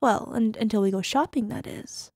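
Woman acting as playful affectionate wife, ASMR roleplay, flirty, breathy asides, touch of fry, close dry sound. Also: fairly high pitch, normal speed, darkish tone, dry recording. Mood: playfully smug, affectionate. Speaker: voice actor.

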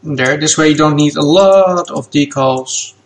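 Gravelly-voiced man explaining software steps. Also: a little high, a little slow. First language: Dutch. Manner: relaxed, plain step-by-step explaining with fillers. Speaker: game asset creator. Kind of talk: software tutorial walkthrough.

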